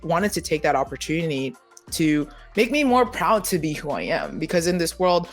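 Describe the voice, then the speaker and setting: A young-adult multimedia journalist answering panel questions quickly and animatedly, full of "like" and "you know", over a video call. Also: mid-range voice, faint music behind. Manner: fast, animated, many fillers like "like" and "you know".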